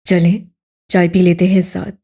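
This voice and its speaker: A woman voicing a confident, hurried young man with an urging, commanding manner in an audio story. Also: fairly low pitch, level tone, vocal fry, mellow, voice pushed down. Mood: brisk, urging.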